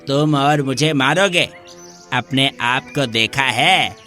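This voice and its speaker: A man's voice: on the high side, pushed and bright, full character voice. A man voicing a bullying langur monkey in a children's story, taunting and scornful. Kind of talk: children's moral story dialogue.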